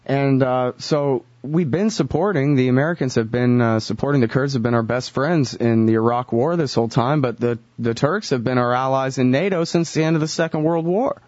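A man's voice: mid-range voice, fairly quick, hardly any pauses, a little mellow, dry recording, highs cut off. A man hosting a radio interview, asking probing questions in a casual way with some fillers. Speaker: radio show host.

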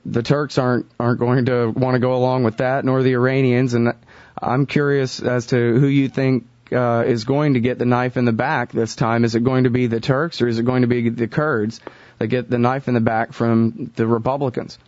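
A man's voice: medium pitch, flat delivery, moderate pace, darkish tone, dry recording, highs cut off. A man hosting a radio interview, asking probing questions in a casual way with some fillers. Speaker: radio show host.

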